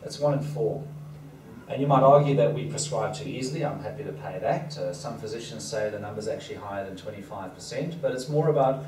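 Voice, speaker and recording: A man's voice: lowish voice, brisk, a little mellow. A man raised in Africa, Danish his first language, with a slight foreign accent, giving a chatty, self-deprecating seminar talk to an audience. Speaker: seminar speaker. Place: event hall with audience. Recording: roomy and distant, noisy hall.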